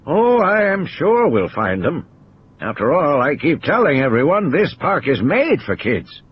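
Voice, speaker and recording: A man voicing a stubborn old park owner in an audio play, insistent and over-reassuring, breezily dismissive and quick to snap. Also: a little high, wildly swooping pitch, lo-fi sound, a little hiss or hum. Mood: breezy confidence.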